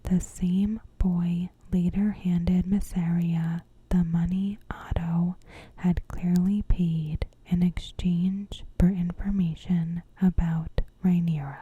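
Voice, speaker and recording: Slow, breathy whispering ASMR woman. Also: lowish voice, level tone, dull audio. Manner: gentle, measured, careful phrasing. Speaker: ASMR host. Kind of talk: ASMR, soft whispered scripted recap narration.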